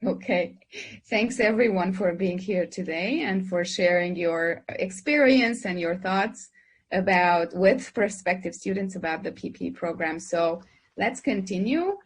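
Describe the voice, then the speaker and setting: A woman's voice: on the low side, measured pace, slightly rough. A woman moderating an online webinar, who introduces speakers and asks questions hesitantly, with many fillers and restarts, over a video call. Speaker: moderator, dean.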